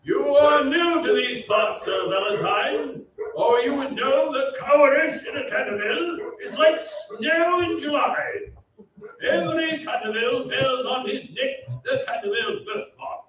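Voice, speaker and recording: Proud declamatory lord for radio drama, male actor, deliberate delivery, distant and echoey stage sound. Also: extremely high pitch, animated. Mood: indignant. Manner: declamatory, haughty. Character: proud, stern English lord, a grown man's father, defending family honor. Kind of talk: old-time radio acting. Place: theatre stage. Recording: echoey stage, distant mic, dull, faint noise.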